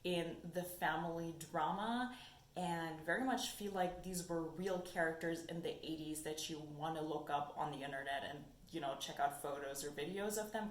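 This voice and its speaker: A young woman whose first language is German, chatting casually about books in a haul video and thinking aloud as she goes. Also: lowish voice. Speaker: book vlogger. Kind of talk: casual book haul chat. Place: sunny room indoors. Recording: echoey room with faint noise.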